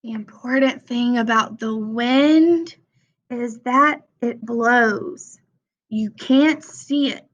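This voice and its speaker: A young adult woman reading a children's picture book aloud with simple, deliberate phrasing for young listeners. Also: a little high. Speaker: read-aloud presenter for children. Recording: dull, lo-fi sound.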